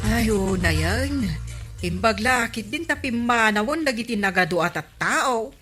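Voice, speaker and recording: A woman's voice: on the high side, expressive, unhurried, music in the background. A woman with an Ilocano accent playing a scolding village councilor and storekeeper in a radio comedy drama. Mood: grumbling. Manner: nagging, emphatic.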